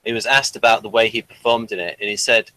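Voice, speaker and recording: A man's voice: lowish voice, fairly even intonation, quick pace, pushed and bright, no room sound, poor audio. A man hosting a review show in a casual, conversational style. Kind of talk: casual chat.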